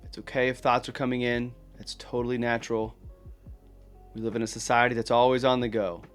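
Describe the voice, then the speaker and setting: Male meditation guide, medium pitch, gentle instruction, unhurried pauses, encouraging, plain-spoken, faint guitar music behind. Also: little pitch movement.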